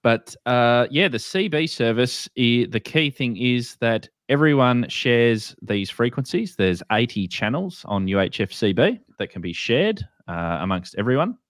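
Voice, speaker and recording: A man with an Australian accent hosting a casual explainer, speaking informally and haltingly, often repeating himself. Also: mid-range voice, animated, no room sound, clean studio sound. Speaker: host. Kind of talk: casual explainer with screen share.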